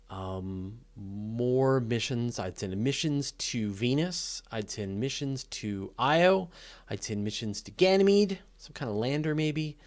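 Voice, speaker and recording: A man talking casually and off the cuff as the host of a live question session, thinking aloud in a conversational, keen way. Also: mid-range voice. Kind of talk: casual unscripted Q&A talk about space and astronomy.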